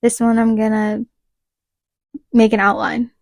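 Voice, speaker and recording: A woman's voice: on the high side, little pitch movement, unhurried, creaky, darkish tone, no echo, clean studio sound. A young woman co-hosting a podcast in casual chat, chatty and steering the talk toward practical tips. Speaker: podcast co-host.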